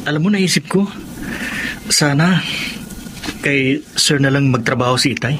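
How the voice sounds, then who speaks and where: An actor playing a kindly, concerned ordinary man in a Filipino radio drama, gentle and sympathetic. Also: mid-range voice, a little slow, lightly acted. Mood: caring, hopeful. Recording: noisy radio drama recording.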